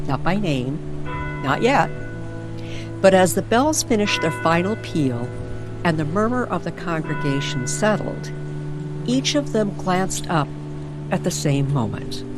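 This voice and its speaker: A woman narrating a scripted story in an old-time radio style, with deliberate, hushed storytelling phrasing. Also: lowish voice. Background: music bed.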